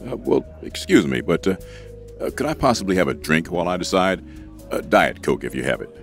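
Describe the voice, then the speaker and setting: An exasperated male restaurant customer, played by an actor in a comedy audio sketch. His delivery is sarcastic and indignant, swinging widely in pitch. Faint music plays behind him. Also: sing-song, fairly quick, gravelly, heavily acted. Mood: polite but puzzled.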